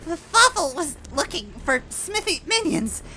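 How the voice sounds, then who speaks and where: Villain's sidekick, shrill, sharp, wheezy, frantic, fractured grammar, storybook character. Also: male voice, sing-song, a little slow, a little hiss or hum, full character voice, poor audio. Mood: panicked. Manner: broken grammar, speaks of himself in third person.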